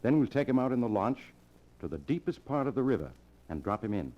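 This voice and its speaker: An actor playing a cold, controlling younger man who plots murder, in the deliberate, insistent style of 1940s radio drama. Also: medium pitch, sing-song, warm tone, natural voice. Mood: coolly scheming. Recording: dull old radio broadcast recording.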